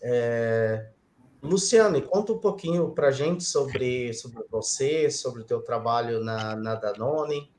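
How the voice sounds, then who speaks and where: Slow, hesitant man in a roomy space. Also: medium pitch, darkish tone. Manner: hesitant, many "é" and "né" fillers. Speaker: podcast host, moderator. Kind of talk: casual moderated panel. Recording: roomy sound with faint noise.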